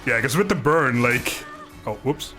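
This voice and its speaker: A man's voice: medium pitch, lively intonation, unhurried, sharp tone. A man giving casual, rambling, self-deprecating commentary as he livestreams a video game. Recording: music playing behind the voice.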